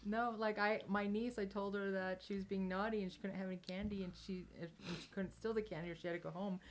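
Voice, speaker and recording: A woman's voice: mid-range voice, steady tone, quick pace, a little hiss or hum. A woman playing a tabletop role-playing game, talking in a casual, chatty style.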